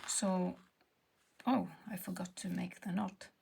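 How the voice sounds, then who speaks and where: Swedish woman, textile artist narrating her stitching, slow and halting, half-whispering at times. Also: on the low side, little pitch movement, creaky, husky. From Sweden. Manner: hesitant, searching for words, soft and half-whispered. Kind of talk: casual craft tutorial narration.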